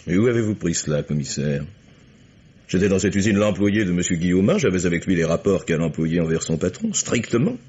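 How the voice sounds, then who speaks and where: An actor playing a proud, guarded chief engineer in a radio mystery, speaking formally and in measured, clipped statements. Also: male voice, low voice, very animated, darkish tone, breathy edge, heavily acted. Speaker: actor in a radio crime drama. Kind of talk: French radio mystery acting. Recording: muffled old radio recording.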